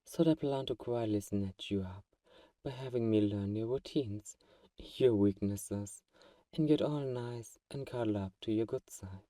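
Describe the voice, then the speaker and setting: A man playing a teasing, possessive vampire who is friends with a hunter, in an intimate ASMR audio roleplay with sighs and soft chuckles. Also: fairly low pitch, measured pace, no echo, far from the mic, lightly acted. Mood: confessional, wry.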